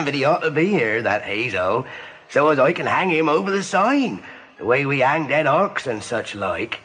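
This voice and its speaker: A man's voice: mid-range voice, very animated, a little slow, fairly bright tone. Man acting a sly rustic farmhand, swooping and sinister. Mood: sly, taunting. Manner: taunting, folksy, sly. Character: sinister rustic farm man in a rabbit's nightmare.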